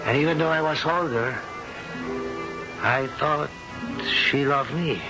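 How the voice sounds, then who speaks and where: A nobleman haunted by his dead wife, speaking in a slow, heavy, impassioned way. His voice sits a little high for a man. It comes through a dull old radio broadcast with music behind it. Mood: confessional. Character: brooding older Spanish nobleman, widower. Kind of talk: 1970s radio drama acting.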